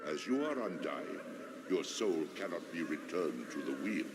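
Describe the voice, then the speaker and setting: An actor playing an ancient, imperious god in a gothic video game, speaking with menacing command through cavernous reverb. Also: male voice, low-pitched, very animated, a little slow, heavy background noise, full character voice. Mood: imperious, gloating. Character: ancient, domineering male god, coldly imperious. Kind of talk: villainous gothic game acting. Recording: long echoing hall, background ambience.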